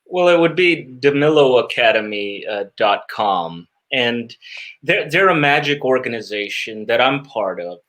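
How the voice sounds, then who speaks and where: A man answering interview questions as a guest magician, earnest and reflective, often pausing and repeating words as he searches for phrasing. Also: mid-range voice, expressive, a bit bright.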